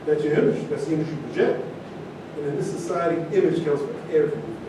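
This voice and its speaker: A man's voice: fast talker, natural voice. A man in a staged play reading, playing an earnest, argumentative character who corrects his peers. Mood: exasperated. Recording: very echoey distant room, rumbling noise.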